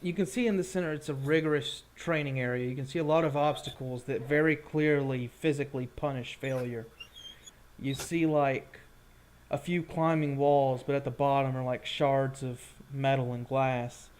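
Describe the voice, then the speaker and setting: A man running a tabletop roleplaying game, describing scenes informally and a little haltingly. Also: medium pitch, fairly even intonation, darkish tone. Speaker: game master. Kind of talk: improvised tabletop game narration.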